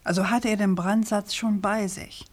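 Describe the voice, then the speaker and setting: An actress playing a persistent, sceptical journalist in a radio crime drama, speaking in a probing, deliberate and emphatic way. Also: female voice, mid-range voice, steady tone, close to an everyday voice. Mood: questioning, insistent. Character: persistent, sceptical female journalist questioning a prosecutor.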